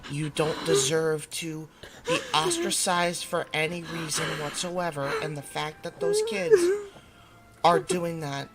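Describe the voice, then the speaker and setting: A woman playing a protective mother in an audio roleplay, gently and earnestly reassuring her child in a halting way. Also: low-pitched. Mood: protective, soothing. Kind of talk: audio roleplay drama acting.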